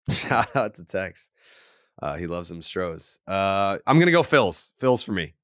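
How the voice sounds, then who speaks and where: A man's voice: low-pitched, sing-song, slow pace, fairly bright tone, dry recording, clean studio sound. A man hosting a baseball podcast, chatting casually. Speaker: sports podcast host.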